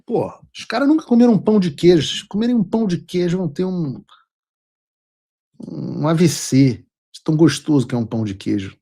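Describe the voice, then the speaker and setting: A man's voice: a little high, animated, brisk, mellow. A Brazilian man hosting his own show, delivering blunt, mocking political commentary with casual swearing. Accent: Brazilian Portuguese. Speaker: show host.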